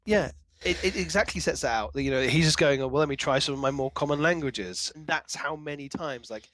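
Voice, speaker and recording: A man's voice: a little high, fairly quick, fairly bright tone, no echo. A man co-hosting a podcast, chatting casually and enthusiastically about a book. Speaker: podcast co-host.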